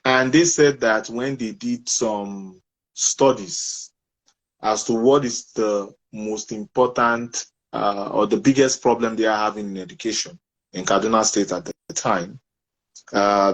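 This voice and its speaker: A man's voice: lowish voice, unhurried, low-quality recording. A man from Nigeria hosting and moderating an online discussion, firm about keeping time. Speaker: host. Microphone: online call.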